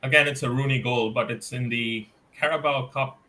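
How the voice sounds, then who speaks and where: Bright-voiced, hesitant man co-hosting a podcast. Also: mid-range voice, fairly even intonation. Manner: hesitant, many "uh" fillers, measured. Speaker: podcast co-host. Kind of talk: casual football podcast chat.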